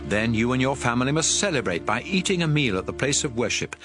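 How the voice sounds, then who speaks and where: A male narrator reads scripture in a medium-pitched, expressive voice that moves around a good deal. He is measured and authoritative, with music playing clearly behind him.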